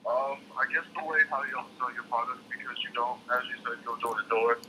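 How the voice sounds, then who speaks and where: A man's voice: medium pitch, steady tone, brisk, bright, forceful tone. A young man interviewing for a job over the phone, talking casually and hesitantly, often rephrasing his questions. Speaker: job applicant. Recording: noisy telephone call.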